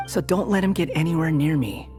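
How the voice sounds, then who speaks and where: A man's voice: a little high, fairly quick, lightly acted. A man voicing a grumpy, aloof stray tomcat in a video game, curt and sullen. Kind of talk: animated video game acting. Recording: music behind the voice.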